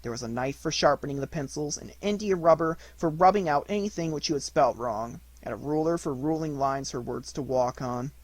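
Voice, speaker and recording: A man reading a children's audiobook in an expressive narration style. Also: a little high, fairly quick, few pauses, no echo. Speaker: audiobook reader.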